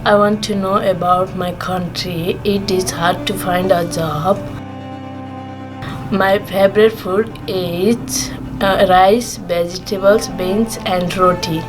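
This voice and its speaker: A woman from Nepal, a student, telling a personal story in slow, hesitant, simple learner's English. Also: lowish voice, fairly even intonation, poor audio. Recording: music playing behind the voice.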